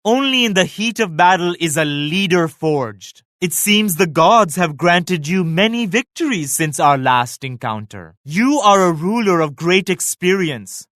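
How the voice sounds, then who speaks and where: An actor playing a proud, pious emperor in a video game, speaking in a solemn, formal, measured way like an orator. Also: male voice, high voice, expressive, a bit bright, no room sound, studio quality. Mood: solemn, reflective. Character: proud, pious emperor, stately and morally stern. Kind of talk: scripted video game voice acting.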